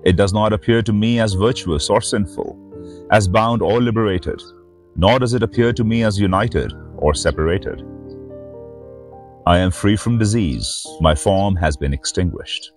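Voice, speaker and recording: A man speaking in a solemn, measured way as a spiritual host reading sacred verse. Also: lowish voice, a little mellow, faint music behind. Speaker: spiritual teacher and reader, host of the series. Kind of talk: slow devotional reading of sacred verse with short host remarks.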